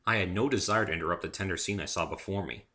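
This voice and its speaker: A man's voice: low voice, very fast, bright, forceful tone. A man giving an amateur dramatic reading of a first-person story as its narrator.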